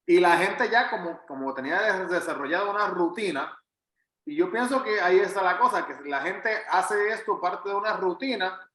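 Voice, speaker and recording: A man's voice: high voice, fairly bright tone, reverberant, low-quality recording. A man with a Puerto Rican Spanish accent giving a conversational Bible teaching as a pastor, earnest and emphatic, repeating phrases for weight. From Puerto Rico. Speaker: pastor leading a Bible study.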